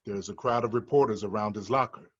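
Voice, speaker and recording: A man's voice: on the low side, fast talker, slightly rough, muffled recording. A man reading screenplay stage directions as scripted narration.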